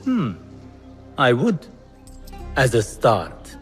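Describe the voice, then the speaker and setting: A man's voice: mid-range voice, huge pitch swings, extremely slow, exaggerated voice. An actor playing a greedy, self-important male court official in a video game, haughty and sly. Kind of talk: cinematic video game acting. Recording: music behind the voice. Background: music.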